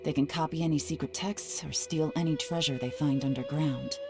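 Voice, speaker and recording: A woman voicing a shrewd, secretive female spy who speaks in a calm, measured, analytical way, in a game with music under her lines. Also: low-pitched. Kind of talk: game voice acting.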